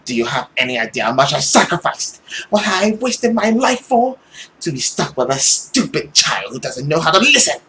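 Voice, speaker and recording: Man acting a cruel, scolding mother, swooping pitch. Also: very animated, moderate pace, sharp tone, a little hiss or hum. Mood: bitter rage. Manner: harsh, scolding, dramatic. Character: cruel, furious, abusive mother, bitter and self-pitying.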